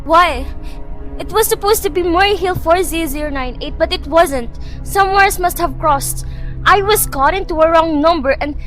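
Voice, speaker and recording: A woman's voice: extremely high pitch, normal speed, a bit bright, faint music behind, low-quality recording. A woman playing an anxious, fretful invalid alone at night in a suspense radio drama, speaking in a plaintive, insistent way. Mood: alarmed.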